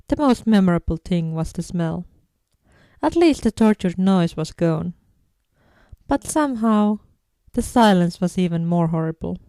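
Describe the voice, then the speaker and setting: Mellow woman narrating a story, dry sound. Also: lowish voice, dry recording. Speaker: fan fiction audiobook narrator.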